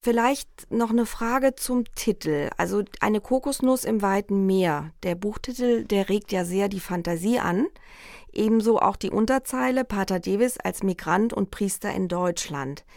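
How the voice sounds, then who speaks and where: A woman interviewing an author, asking measured, formal questions and reading a quote from his book. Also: mid-range voice, gravelly, darkish tone, no room sound, clean studio sound.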